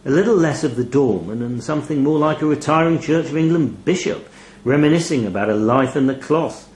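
A man reading a first-person short story aloud in a wry, expressive storytelling manner. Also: a little high, brisk, echoey room, a little hiss or hum. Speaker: short story reader, narrator. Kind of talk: scripted first-person fiction narration.

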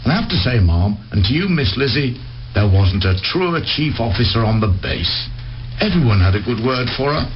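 An actor playing a chatty, deferential police sergeant with a rambling, formal manner in a radio play. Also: male voice, mid-range voice, big pitch swings, moderate pace, natural voice. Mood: deferential, eager. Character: deferential, chatty male police sergeant, loyal ex-navy man. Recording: noisy, muffled radio play recording.

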